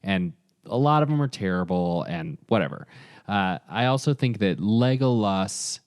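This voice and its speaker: A man's voice: fairly low pitch, animated, normal speed, clear, resonant tone, dry recording, studio quality. A man co-hosting a podcast in a casual debate, with a teasing, playfully competitive manner. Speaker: podcast co-host.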